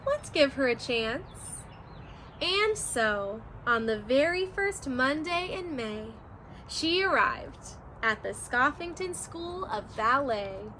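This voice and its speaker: A woman's voice: high voice, sharp tone, slight background noise, poor audio. A woman teacher reading a rhyming children's picture book aloud, in a sing-song, rhythmic storytelling manner.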